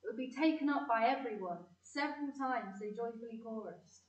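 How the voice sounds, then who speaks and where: A woman playing a fond, lively narrator recalling childhood in a community audio play. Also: a little high, fairly quick, highs cut off, close to an everyday voice. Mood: fond storytelling. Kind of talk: scripted storytelling in audio play. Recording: echoey room, slightly distant mic.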